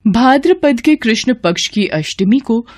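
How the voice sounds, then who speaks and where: A woman's voice: fairly high pitch, expressive, measured pace, darkish tone. A woman narrator reading a scripted devotional story.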